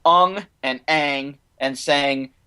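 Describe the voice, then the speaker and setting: A man's voice: fairly high pitch, very slow, pushed and bright, clear, resonant tone. A young American man chatting casually as a podcast co-host, in an opinionated, rambling fan manner. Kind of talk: casual panel chat about films and animation.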